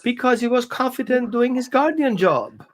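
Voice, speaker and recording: A man's voice: extremely high pitch, lively intonation, moderate pace. A man, a dog behavior consultant explaining on a live show with phrasing and quoted examples. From Greece and Austria.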